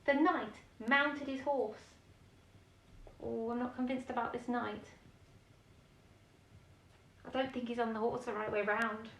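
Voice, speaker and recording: A woman's voice: fairly high pitch, steady tone, measured pace, creaky, pauses often, a bit bright, slight background noise. A woman reads a children's bedtime story expressively, with chatty explanations and questions for young listeners. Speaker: storyteller reading a children's picture book. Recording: roomy echo, distant microphone.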